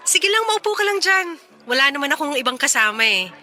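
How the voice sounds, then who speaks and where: Casting: wistful young ex-girlfriend in radio drama, very bright high voice, teasing, conversational. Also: sing-song, a little fast, exaggerated voice. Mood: playful, coy. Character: Mela, wistful young woman reunited with her ex. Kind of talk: Tagalog radio drama acting.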